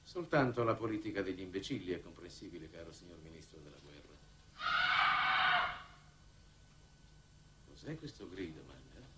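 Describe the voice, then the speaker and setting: An actor playing a calculating chief diplomat, formal, courteous and assured, in 1960s Italian TV drama style. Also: male voice, deep voice, animated, quick pace. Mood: cool, assured. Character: suave, calculating male diplomat leading a mission. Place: television studio set. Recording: distant, noisy old television soundtrack.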